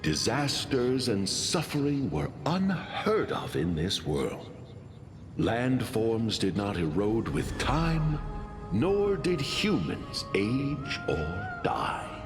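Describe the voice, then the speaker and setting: An actor playing a solemn narrator of an ancient myth in a video game, grave, measured and dramatic, in the manner of epic storytelling. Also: male voice, medium pitch, huge pitch swings, full character voice. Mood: solemn, reverent. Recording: music under the voice.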